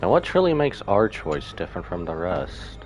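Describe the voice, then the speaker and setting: A man's voice: deep voice, big pitch swings, normal speed, low-quality recording. A man giving casual reaction commentary as a game streamer. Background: game music.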